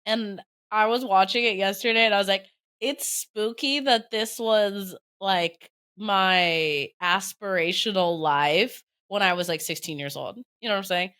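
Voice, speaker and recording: A young woman co-hosting a podcast in casual chat, slightly raspy and drowsy from allergies, chatty and animated. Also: mid-range voice, a little slow. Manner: chatty, animated, says "like" often. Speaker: podcast co-host.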